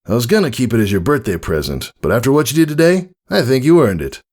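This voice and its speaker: A man's voice: medium pitch, big pitch swings, quick pace, darkish tone, voice pushed up. A man voicing a kindly, composed father pony in a story reading. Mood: affectionate.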